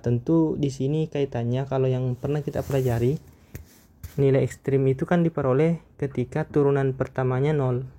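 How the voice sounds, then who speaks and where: Mellow-voiced man explaining a math lecture. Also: medium pitch. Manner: measured, explanatory, frequent hesitation fillers.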